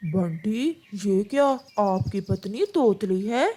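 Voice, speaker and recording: Woman as a slow-talking kindly uncle pigeon. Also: medium pitch, expressive, warm tone, voice pushed down. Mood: surprised. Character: kindly older uncle pigeon, reassuring and helpful. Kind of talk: Hindi children's cartoon voice acting.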